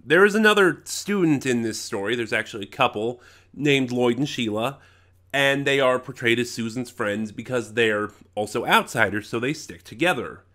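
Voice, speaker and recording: A man giving a casual, unscripted, opinionated review, stuffed up with a head cold, halting and often rephrasing as he thinks. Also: mid-range voice, sharp tone.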